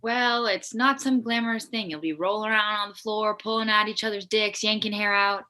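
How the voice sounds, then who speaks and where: A woman acts out a teenage girl in a comedy table read. She is level-headed and unimpressed, speaking in a crisp, bright voice with dry, deadpan delivery. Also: medium pitch, a little fast, natural voice. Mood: exasperated.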